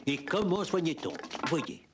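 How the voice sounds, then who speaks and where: A man reading scripted narration for an educational history cartoon, with a husky voice. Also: a little high, wildly swooping pitch, measured pace, heavy background noise. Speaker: documentary narrator.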